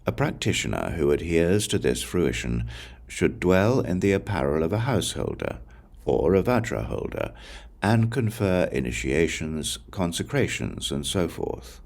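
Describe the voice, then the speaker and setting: Male audiobook reader for Buddhist scripture: rock-bottom pitch with lively movement, solemn and measured delivery. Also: creaky.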